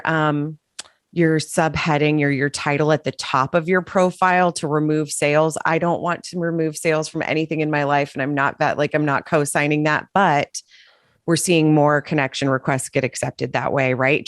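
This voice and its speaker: Low-pitched woman, chatty and playful on a sales panel. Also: no echo, clean studio sound. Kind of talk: casual panel discussion.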